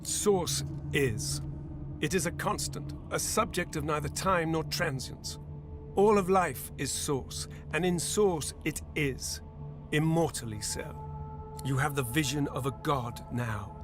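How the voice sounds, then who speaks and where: An actor playing a solemn, lofty god who guides like a mentor in a fantasy video game, speaking gravely and grandly. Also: male voice, a little high, takes it slowly. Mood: solemn, exhorting. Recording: orchestral music behind the voice. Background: music.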